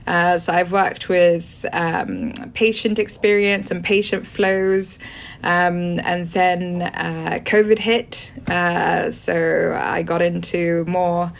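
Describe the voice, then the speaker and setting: A Swedish-born woman in her forties whose accent is British, speaking in a somewhat low voice. She answers interview questions in long, meandering turns, often stopping to restart with an um or uh. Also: level tone, slow, creaky. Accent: British English. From Sweden. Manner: hesitant, many ums and restarts. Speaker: guest.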